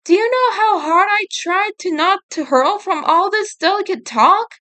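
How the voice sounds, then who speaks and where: A blunt teenage turtle brother from a story, voiced by a woman, pitched high. He is guilt-ridden and awkward with feelings, and he speaks in unhurried lines. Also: lively intonation, pushed and bright, full character voice. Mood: teasing grumble.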